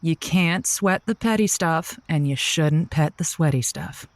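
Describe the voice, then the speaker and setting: A woman's voice: sits low, a little slow, no echo. An actress playing a flirty, pun-loving woman who hosts a lonely-hearts radio show, with playful theatrical delivery. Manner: theatrical, punning, endearments to listeners. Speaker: radio host. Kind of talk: playful scripted radio-host patter.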